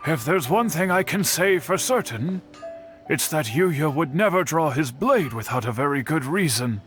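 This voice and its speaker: A man's voice: a little high, animated, fairly bright tone, faint music behind. A man voicing an earnest young man in a video game, worried about a missing friend. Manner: earnest, measured. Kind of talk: game voice acting.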